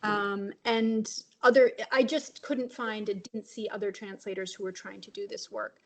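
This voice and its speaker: A woman's voice: mid-range voice, fairly even intonation, moderate pace, fairly bright tone. A woman translator answering on a webinar panel over a video call, reflective and thinking aloud. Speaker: translator and publisher.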